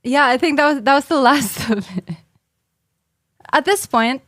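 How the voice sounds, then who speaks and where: A woman's voice: sits high, moderate pace, a bit bright, dry recording, studio quality. A woman with a Filipino accent, a livestreamer reading viewer confessions aloud and reacting bluntly. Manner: blunt and exasperated in reactions, mocking the writer.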